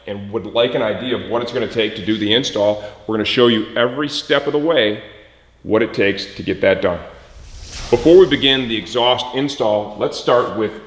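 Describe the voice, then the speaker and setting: A man's voice: on the low side, moderate pace. Echoey, plain-spoken man giving a how-to. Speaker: host of motorcycle parts install videos. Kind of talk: casual product walkthrough and step-by-step tutorial. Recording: echoey, reverberant room.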